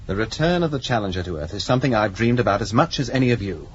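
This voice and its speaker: An actor playing a dutiful, cautious young spaceship commander in a radio drama, firm, clipped and authoritative. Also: male voice, fairly low pitch, expressive, fast, slight background noise, natural voice. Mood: firm, anxious. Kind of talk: 1980s radio drama acting. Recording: dull old radio recording.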